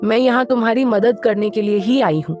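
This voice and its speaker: A woman voicing a young, kind-hearted mermaid in a cartoon story, earnest and expressive. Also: a little high, fairly quick, lightly acted. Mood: concerned, caring. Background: music.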